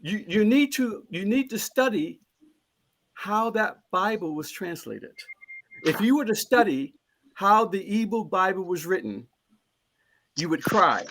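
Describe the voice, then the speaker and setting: A man's voice: high voice, expressive, measured pace, pauses often, a bit bright, slight background noise, poor audio. A man speaking as a panelist in a live discussion, earnest and emphatic, often repeating a phrase as he builds toward his point.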